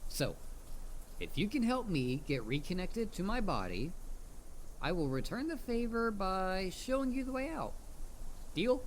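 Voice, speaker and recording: A man's voice: high voice, very animated, unhurried, pauses often, slight background noise. A man voicing a chatty, mischievous talking head of a dullahan in a casual, rambling audio roleplay spoken straight to the listener. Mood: sheepish, friendly. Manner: casual, rambling, hesitant with stammered repeats. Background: ambient forest sound effects.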